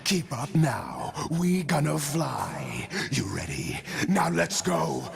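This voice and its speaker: A man voicing a sneering undead punk rocker villain in a video game, gravelly and taunting, with theatrical screams. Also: a little high, sing-song, takes it slowly, a crowd in the background, full character voice. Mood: manic taunting.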